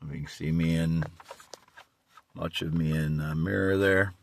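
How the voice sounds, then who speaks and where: A middle-aged man with a slight rasp, giving a halting, repetitive, insistent monologue as he vlogs from a pickup truck. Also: rumbling low, steady tone, slight background noise. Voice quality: slightly raspy. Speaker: vlogger. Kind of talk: rambling monologue to camera.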